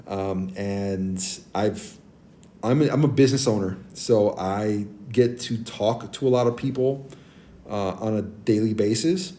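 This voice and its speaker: A man in his forties with a naturally deep voice, chatting casually with stream viewers and giving friendly advice, with many ums and restarts. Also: unhurried, warm tone. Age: 40s. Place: abroad, streaming on a tablet. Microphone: tablet mic.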